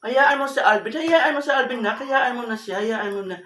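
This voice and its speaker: A woman playing a concerned mother who gently scolds and consoles, fussing with repeated questions and reassurances in an amateur solo drama. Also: fairly high pitch, normal speed, close to an everyday voice. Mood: consoling. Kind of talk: amateur solo monologue acting. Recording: roomy, distant microphone, faint noise and clicks.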